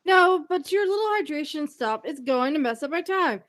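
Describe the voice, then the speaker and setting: A woman's voice: sits high, lively intonation, normal speed, a bit bright. A woman voicing an impatient teenage wizard boy in an amateur audio drama, urgent and exasperated.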